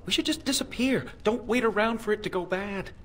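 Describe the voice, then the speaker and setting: A man's voice: high voice, fairly quick. A man playing a bitter, sarcastic renegade elf mage in a fantasy video game, dry and uneasy in his delivery. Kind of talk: video game cutscene acting.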